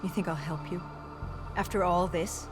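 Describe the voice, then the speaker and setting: A woman's voice: fairly low pitch, fairly even intonation, normal speed, music in the background, close to an everyday voice. A woman playing a defiant, battle-weary soldier in a video game, grimly and bluntly narrating a mission. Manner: blunt, bitter, grim.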